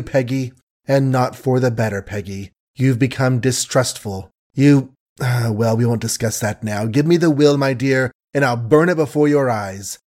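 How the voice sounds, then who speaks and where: A man voicing a gentle young man who pleads earnestly and haltingly, with a temper held back, in a storybook reading. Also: medium pitch, close to an everyday voice.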